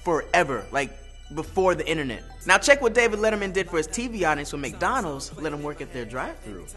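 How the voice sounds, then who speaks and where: A young American man presenting a scripted comedic web show in a playful, animated, slangy way. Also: on the high side, brisk, faint music behind. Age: young adult. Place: studio with green screen, music behind.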